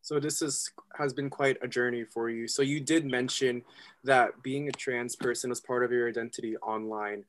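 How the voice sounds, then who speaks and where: A man with a mid-range voice hosts the podcast, putting calm and direct questions to his guest. He speaks into a studio microphone in a room at home. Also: medium pitch, normal speed. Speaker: podcast host. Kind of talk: interview questions.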